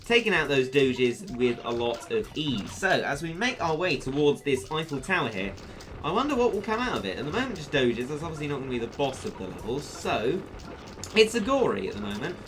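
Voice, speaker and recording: A man giving upbeat, joking commentary over a mobile game, his voice going a little hoarse. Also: medium pitch, big pitch swings, brisk. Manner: chatty, upbeat, self-deprecating jokes. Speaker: gaming YouTuber. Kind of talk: let's play commentary.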